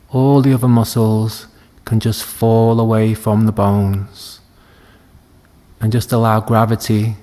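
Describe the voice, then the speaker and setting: A man leading a guided meditation as a teacher, speaking gently and soothingly with long unhurried pauses. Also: lowish voice. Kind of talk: guided body scan meditation.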